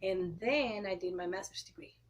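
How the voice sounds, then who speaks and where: Costa rican woman in her 30s, husky voice, spanish accent, answers interview questions, keeps clearing her throat. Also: mid-range voice, normal speed. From Costa Rica. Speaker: guest, opera singer and educator.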